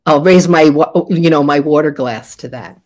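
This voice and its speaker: An older woman with a raspy voice, a spiritual guide leading a soft, meditative session with chanting, heard over a video call from a room at home. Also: sits low. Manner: slow, soft and meditative, with pauses.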